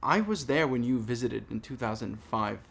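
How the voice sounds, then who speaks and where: A man in his thirties from Palestine speaking in a personal monologue, earnest, deliberate and emphatic. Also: medium pitch, lively intonation, creaky, fairly bright tone, breathy edge. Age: 30s. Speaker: vlogger making a response video. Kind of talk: personal monologue addressed to camera.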